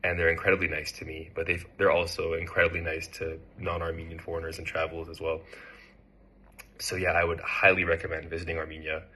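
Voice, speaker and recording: Young american man, very low voice, bright tone, husky, relaxed with lots of ums. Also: fast. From United States. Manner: relaxed, hesitant with frequent fillers. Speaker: intern. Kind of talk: casual testimonial.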